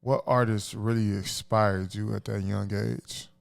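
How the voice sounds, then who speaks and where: A man's voice: on the low side, flat delivery, unhurried, vocal fry. A man hosting a podcast, mostly breaking in with short reactions and the odd question. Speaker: podcast host and DJ. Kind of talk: casual interview chat.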